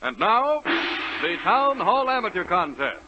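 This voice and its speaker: Polished 1930s radio announcer, high voice. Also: male voice, sing-song, slow. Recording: dull, hissy old radio broadcast.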